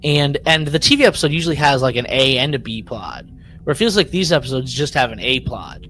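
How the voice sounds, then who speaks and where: Rambling male podcast co-host, mid-pitched voice. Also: lively intonation, a bit bright. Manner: rambling, halting, repeats words. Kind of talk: casual podcast chat.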